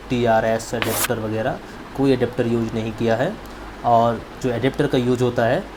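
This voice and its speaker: A man in a casual product-test talk to viewers, explaining step by step and repeating his points. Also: mid-range voice. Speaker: tech reviewer. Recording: noisy amateur recording with ambient background noise.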